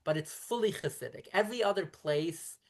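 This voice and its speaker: A woman in her thirties from Brooklyn whose first language is Yiddish, speaking as a rabbi in a conversational interview and explaining at length in quick, self-correcting runs. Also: lowish voice, expressive, darkish tone. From Brooklyn, New York. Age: 30s. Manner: talks quickly in long explanatory runs with frequent restarts.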